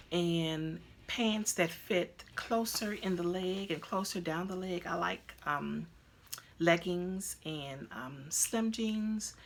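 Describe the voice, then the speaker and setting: A woman's voice: fairly low pitch, vocal fry, a little hiss or hum. A woman in her fifties hosting a crafting podcast, chatting casually at home with lots of ums and restarts. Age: 50s. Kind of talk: casual chat to camera. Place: at home, indoors.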